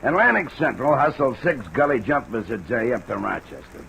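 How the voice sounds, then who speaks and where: An actor playing an aging, gruff railroad detective in a radio drama, with a gravelly voice, blunt folksy manner and thick railroad slang. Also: male voice, medium pitch, sing-song, moderate pace, fairly bright tone. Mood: eager, brisk. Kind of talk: old-time radio drama acting. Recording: noisy, hissy old radio recording.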